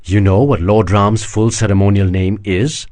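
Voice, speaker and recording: A man reading an audiobook, voicing a sharp-witted proud woman who teases and mocks gently. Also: fairly low pitch, fairly even intonation, normal speed, lightly acted. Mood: teasing. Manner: teasing, gently mocking. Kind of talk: audiobook character voice.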